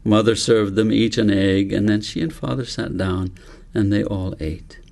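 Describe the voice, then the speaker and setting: Low, gravelly male storyteller. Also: steady tone, moderate pace, darkish tone. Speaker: author reading own book aloud. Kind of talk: audiobook narration of a children's story.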